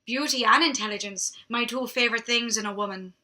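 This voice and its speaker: A woman's voice: fairly high pitch, fairly quick, bright, forceful tone. A woman putting on a cocky Irish vampire man. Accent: attempted Irish. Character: cocky flirtatious male vampire.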